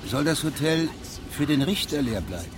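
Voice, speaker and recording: An actor in a radio drama playing a stern judge who questions people with measured authority. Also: male voice, fairly high pitch, sing-song, background noise. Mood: probing. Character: stern, probing traveling judge of fifteen years' standing.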